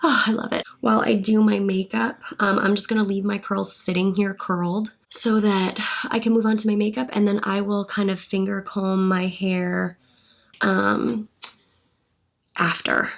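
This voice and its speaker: A woman's voice: medium pitch, little pitch movement, unhurried, vocal fry. A young woman vlogger chatting casually through a hair and makeup tutorial, a little congested from a cold. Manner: chatty, upbeat, thinking aloud while working. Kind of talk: casual get-ready-with-me tutorial.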